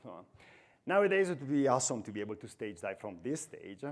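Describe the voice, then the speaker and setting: Italian-accented man, forties, relaxed storyteller on a conference stage, headset mic. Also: mid-range voice, a little fast. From Italy. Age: 40s. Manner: chatty storytelling with self-deprecating humor. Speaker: conference speaker. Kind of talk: conference talk.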